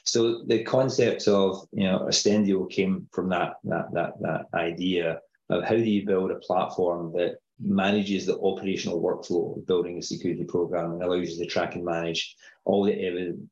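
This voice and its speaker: A middle-aged man with a Scottish accent, explaining things in a measured way as a guest on a podcast interview. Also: low voice, a little mellow, echoey room, highs cut off. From Scotland. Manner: measured, explanatory, uses filler phrases. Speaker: company founder guest.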